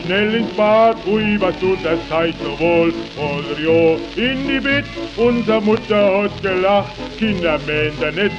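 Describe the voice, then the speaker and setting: High-voiced man singing on an old record. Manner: singing with a jaunty, humorous delivery. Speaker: singer. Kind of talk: comic song on an old record. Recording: dull 1930s record with loud music.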